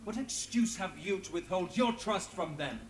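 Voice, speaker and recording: An actor playing an earnest, idealistic investigator in a fantasy game, speaking deliberately. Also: male voice, sits high, expressive, echoey room, slight background noise. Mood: impassioned. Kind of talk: dramatic fantasy game voice acting.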